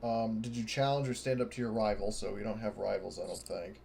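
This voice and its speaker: A man with a fairly low voice talks casually as a player in a tabletop session. He goes through the game informally, over faint mic noise and clicks. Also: level tone, fairly quick, creaky, mellow. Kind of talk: casual chat during a roleplaying game.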